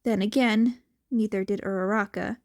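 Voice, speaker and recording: A woman reading scripted fan fiction as an expressive story narrator. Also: medium pitch, normal speed.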